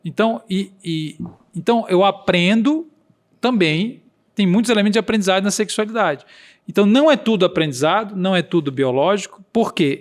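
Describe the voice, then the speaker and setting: High-voiced earnest man explaining. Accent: Brazilian Portuguese regional accent. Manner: earnest, explanatory, acts out quoted dialogue. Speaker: podcast guest. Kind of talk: explaining in a podcast conversation.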